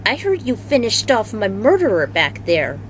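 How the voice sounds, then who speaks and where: A woman's voice: mid-range voice, wildly swooping pitch, normal speed, slight background noise, low-quality recording. A woman voicing a casual, wordy, slangy male action hero in a story reading. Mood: casual, musing. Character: casual, chatty male action hero and would-be film director.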